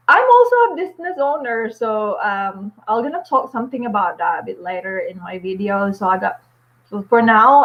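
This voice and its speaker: A woman in her forties, a nurse and vlogger chatting on a casual live stream at home, upbeat, with many fillers and little laughs. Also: a little high. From Philippines. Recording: dull lo-fi stream audio.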